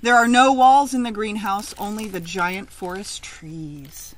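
A middle-aged woman reads a children's picture book aloud outdoors, with expressive, emphatic, sing-song phrasing. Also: mid-range voice, measured pace. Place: outdoors in a leafy garden.

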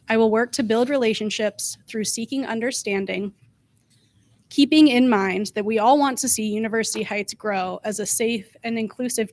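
A young adult woman delivering a prepared campaign speech as a candidate for city council. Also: on the high side.

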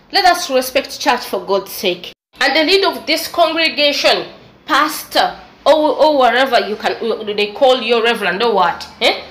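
A woman's voice: on the high side, fairly bright tone. A young adult woman with an East African English accent, giving an exasperated, preachy opinion commentary. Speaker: vlogger. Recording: echoey room with faint noise.

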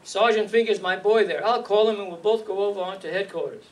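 An actor playing a slangy, sardonic hard-boiled hero who narrates a 1950s radio noir, recorded live in a hall. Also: male voice, sits high, brisk, distant mic, lightly acted. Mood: wry, tough. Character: wisecracking, tough hard-boiled gumshoe and narrator. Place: stage in an indoor meeting hall, live reading.

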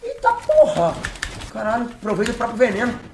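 A young man with a Brazilian Portuguese accent streaming a game from his bedroom on a headset, joking with chat and breaking into shouted mock-English boasts. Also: very high-pitched, huge pitch swings, normal speed, roomy sound, background noise. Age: young adult. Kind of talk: live gameplay commentary. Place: bedroom with gaming chair.

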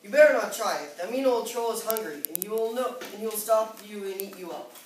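A woman's voice: medium pitch, brisk, lightly acted. A woman playing a big, bold, protective billy goat brother in a children's fairy-tale play. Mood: warning. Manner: firm, challenging. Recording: echoey noisy room, far from mic.

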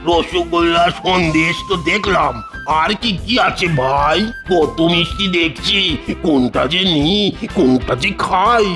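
A man voicing a genial, dithering old grandfather in a cartoon, creaky and given to repeating himself. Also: fairly high pitch, a little slow, close to an everyday voice. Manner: hesitant, musing, repeats himself. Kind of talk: cartoon voice acting. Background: music behind the voice.

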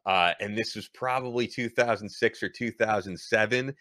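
A man in his thirties hosting a podcast interview, with a bright, forceful voice and a fairly low pitch. The sound is dry and close. He speaks in a promotional way, plugging links and release dates. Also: normal speed, dry recording. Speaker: podcast host.

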